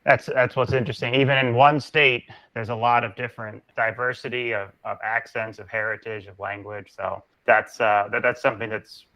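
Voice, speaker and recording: A man's voice: mid-range voice, flat delivery, normal speed, hardly any pauses, pushed and bright, low-quality recording. A man hosting an interview, affirming his guest often and leading into questions with light laughs. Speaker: host.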